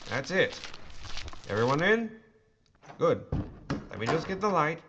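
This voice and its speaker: An actor playing a brisk upper-class wartime British officer in an audio drama, with a British accent and a clipped, gruff manner. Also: male voice, on the high side, very animated, muffled recording, background noise. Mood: brisk, reassuring.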